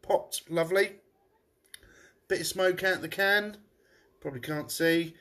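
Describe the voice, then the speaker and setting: Chatty pub landlord, stuffy nose. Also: male voice, on the high side, a little slow, pushed and bright. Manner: chatty, rambling, frequent restarts. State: blocked nose. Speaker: pub landlord reviewing beer on a live stream. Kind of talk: casual live-stream beer tasting. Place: room above his pub.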